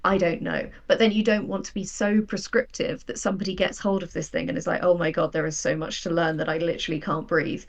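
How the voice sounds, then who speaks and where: A woman's voice on the lower side, with a marked creak. She talks as an interview guest, reflecting openly and explaining her working process with frank self-doubt. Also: flat delivery, quick pace, few pauses. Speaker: guest, audio drama writer.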